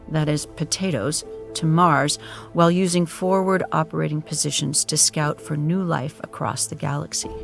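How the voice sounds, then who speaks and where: A man's voice: a little high, creaky. A man reading scripted, dramatic narration as the narrator of an audio drama.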